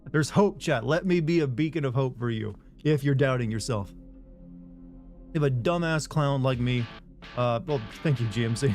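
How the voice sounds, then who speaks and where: A man's voice: mid-range voice, moderate pace, darkish tone, soft background music. A man streaming a game, chatting casually and reading out viewer messages, rambling and making self-deprecating jokes.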